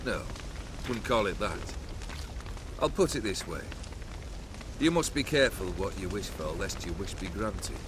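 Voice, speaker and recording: A man's voice: deep voice, lively intonation, unhurried, pauses often, noisy background. An actor playing a proud, world-weary immortal nobleman in a video game, sardonic and theatrical with formal old-fashioned phrasing. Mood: philosophical, brooding.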